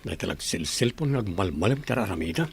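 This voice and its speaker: A man's voice: sits low, sing-song, fast, gravelly, heavy background noise, full character voice. A man playing a weary, grumbling working husband in a radio drama. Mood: tired, annoyed. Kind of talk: Ilocano radio drama acting.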